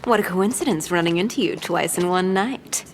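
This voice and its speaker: A woman's voice: on the low side, moderate pace, slight background noise, natural voice. An actress playing a glamorous, self-assured female gang leader with a playful, mocking, flirtatious drawl. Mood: coy. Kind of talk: dramatic audio-drama acting.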